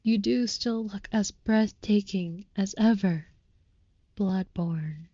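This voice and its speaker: A woman plays a tender female vampire lover, utterly devoted and ornately romantic. The voice is mellow and breathy, slipping into whispers, and it moves slowly and reverently through formal, old-fashioned phrases. The sound is close, dry and slightly dull, as in an intimate audio roleplay. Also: medium pitch, no echo. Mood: tender, adoring. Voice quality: soft, breathy with whispered moments. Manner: florid, reverent, archaic formal wording.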